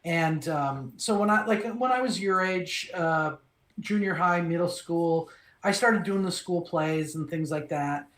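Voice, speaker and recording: A middle-aged male voice actor, originally from Minnesota, telling his career story in an animated, relaxed way, often restarting phrases and acting out quoted lines. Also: a little high, measured pace. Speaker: voice actor, interview guest. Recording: echoey room.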